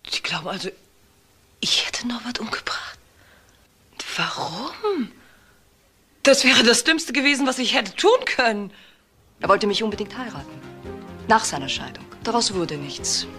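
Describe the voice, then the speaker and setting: Defensive female suspect, crime drama: sharp, bright voice, clipped answers, music behind. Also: a little high, very animated, unhurried, long pauses, slightly rough, full character voice. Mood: defensive, indignant. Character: defensive, indignant female murder suspect, a mistress. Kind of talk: crime radio drama acting.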